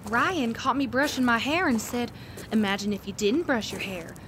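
A woman's voice: on the high side, expressive, normal speed, fairly bright tone, slight background noise. A woman playing an earnest, unsure eighteen-year-old girl in a 1930s audio drama. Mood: fretful, unsure. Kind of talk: scripted audio drama dialogue.

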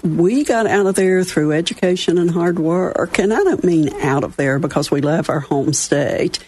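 A woman's voice: on the low side, moderate pace, darkish tone, slight background noise. A woman in her sixties from West Virginia with a Southern accent, speaking as a guest in a radio studio interview with folksy, self-deprecating humor. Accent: Southern American. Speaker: radio show guest, chapter chair. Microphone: studio microphone.

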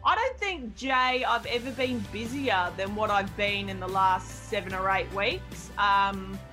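A woman's voice: mid-range voice, measured pace. Joking woman over background music. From Australia. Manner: jokey, self-deprecating, rambling with fillers. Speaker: radio host guest. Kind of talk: casual interview chat.

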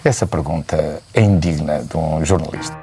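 A man acting as an affronted male politician in a radio comedy. The voice is extremely deep, dark and very raspy, with stiff indignation. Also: moderate pace, soft background music, full character voice. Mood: indignant. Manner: offended, stiff.

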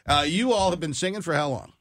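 Man, middle-aged, American, radio host, animated intonation, showman-like banter, dry studio sound. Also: on the high side, quick pace, no room sound. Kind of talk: live radio banter and interview.

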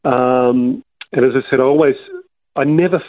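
An Australian man in his sixties recounts his past at an easy, rambling pace, stopping and restarting often. His voice sits at an ordinary pitch and comes through a narrow, phone-like band with no room sound at all. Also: normal speed, no room sound. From Melbourne, Australia. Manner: rambling, many restarts and fillers. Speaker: interview guest. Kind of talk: casual interview storytelling. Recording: dry, tinny phone-like line.

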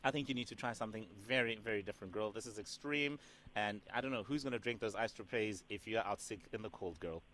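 A man's voice: lowish voice, fast talker, fairly bright tone, a little hiss or hum, lightly acted. A man playing a sassy, overfamiliar prank caller who keeps calling the listener "girl" and "doll". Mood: sassy teasing. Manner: teasing, calls her "girl" and "doll". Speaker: radio host doing a prank call.

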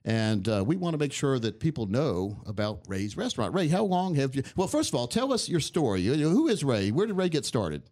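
Folksy guy hosting a radio talk show, talks fast, voice jumps all over. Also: mid-range voice, sing-song, a little mellow. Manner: folksy, chatty, repeats words. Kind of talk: casual chat.

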